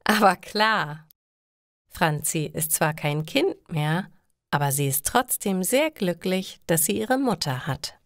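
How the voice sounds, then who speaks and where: A woman narrating simple stories for language learners in slow, deliberate, scripted phrasing. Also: lowish voice, animated, dry recording, studio quality.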